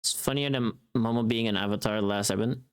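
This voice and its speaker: A woman's voice: very deep voice, fairly even intonation, a little fast, clear, resonant tone. A woman livestreamer chatting casually with her viewers in a playful, teasing and bluntly informal way. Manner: playful, teasing, reacting to chat.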